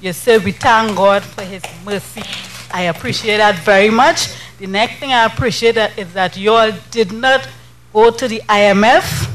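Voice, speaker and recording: A woman's voice: mid-range voice, normal speed, low-quality recording. A woman from Trinidad with a Trinidadian accent, an audience member earnestly thanking the speaker before she asks her questions. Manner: earnest, grateful, addressing the speaker directly.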